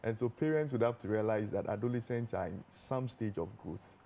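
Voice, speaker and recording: Mellow man with stammering restarts. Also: lowish voice, a little fast, slight background noise. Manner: stammers on restarts, explains plainly. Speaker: doctor guest. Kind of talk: expert explanation on a talk show.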